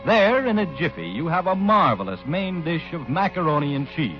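A man's voice: a little high, very animated, moderate pace. An American man reading scripted advertising in a 1940s radio announcer's style. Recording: dull vintage radio recording with music. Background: music.

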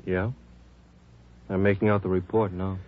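A man playing a quiet, matter-of-fact hospital doctor with a husky voice in a 1950s radio drama. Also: low-pitched, level tone, normal speed, natural voice. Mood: offhand, mild. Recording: dull, muffled old radio recording.

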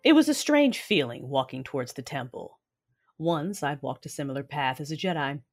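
A low, husky female Sith lord. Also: lively intonation, moderate pace, faint music behind. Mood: cool, wry narration. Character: proud, defiant female Sith lord, older sister narrating her story. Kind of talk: dramatic first-person audio drama narration and dialogue.

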